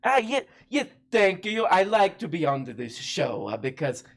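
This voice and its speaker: A performer voicing a self-styled Sicilian version of a celebrity chef, with a deliberately bad fake Italian accent and halting speech full of "uh" pauses. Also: medium pitch, big pitch swings, slightly rough. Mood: defensive. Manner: hesitant, halting with many uh pauses. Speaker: comedy guest character.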